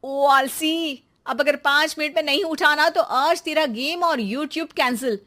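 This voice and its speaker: A woman voicing a harried, sarcastic mother who nags and scolds loudly in a cartoon story. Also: unhurried. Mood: scolding. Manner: shrill, nagging, sarcastic.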